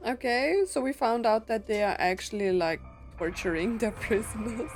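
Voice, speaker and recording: A woman's voice: fairly high pitch, moderate pace. A woman gameplay streamer, reacting casually and reading game text aloud, with faint music behind her.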